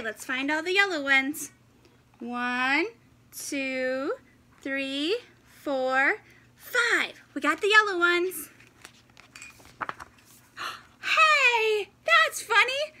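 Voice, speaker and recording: A woman in her thirties doing an animated, exclaiming, encouraging read-aloud of a children's picture book. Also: high voice. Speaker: teacher reading story time for children. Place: indoors, in front of a fabric backdrop.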